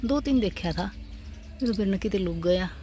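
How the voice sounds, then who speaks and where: A woman's voice: medium pitch, moderate pace, mellow. A woman, chatting casually to a phone camera while showing her village yard. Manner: chatty, shows things to camera. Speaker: village vlogger. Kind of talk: casual vlog narration. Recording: outdoor noise behind the voice.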